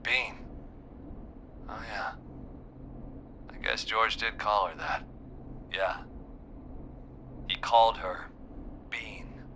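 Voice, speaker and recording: An actor playing a wounded, guilt-ridden small-town man in a video game, weary and halting as he confesses over a call. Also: lowish voice, fairly even intonation, sharp tone, slight background noise, heavily acted. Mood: grieving, guilty. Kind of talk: dramatic video game voice acting.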